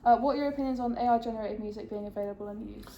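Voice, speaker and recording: A woman's voice: fairly high pitch, highs cut off, a little hiss or hum. A woman interviewing a musician, asking casual questions and readily agreeing with his answers. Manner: agreeable, quick to agree and laugh along. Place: music venue before a show. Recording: echoey room, faint ambience.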